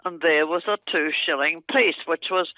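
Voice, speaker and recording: An older Australian woman chatting and telling a rambling family story on the phone, laughing often and talking loudly. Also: low voice, normal speed, no room sound. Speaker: aunt recalling family stories on a phone call. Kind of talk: casual phone chat, storytelling. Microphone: telephone. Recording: tinny phone line, dry.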